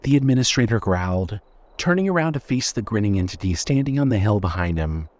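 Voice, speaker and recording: A man narrating dark fiction from a script in a dramatic storytelling style. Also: lowish voice, expressive, a little fast.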